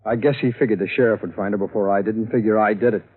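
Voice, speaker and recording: A jittery photographer with a past stammers and defends himself. His voice sits a bit low. It all comes through a thin, phone-like old radio broadcast. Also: steady tone, very fast, slightly rough. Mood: nervous explaining. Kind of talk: 1950s radio drama acting.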